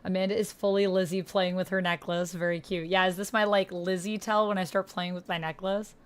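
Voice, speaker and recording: A woman with a low-pitched voice hosts a casual livestream. She talks in an effusive, chatty way, reading and answering chat messages as she goes. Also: little pitch movement, brisk, clean tone. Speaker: livestream host playing a text roleplay game.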